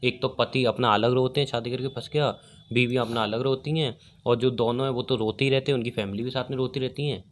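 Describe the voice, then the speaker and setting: A man's voice: mid-range voice, steady tone, brisk, a little hiss or hum. A young man chatting casually on a recorded phone call, teasing and questioning his partner. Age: young adult. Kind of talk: casual couple's chat.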